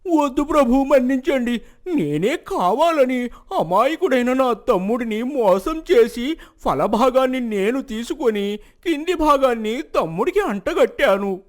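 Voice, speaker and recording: An actor playing a sly, greedy village man, submissive and emphatic as he pleads, in an animated moral story. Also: very high voice. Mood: frightened, pleading. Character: sly, greedy village elder brother, submissive before royalty.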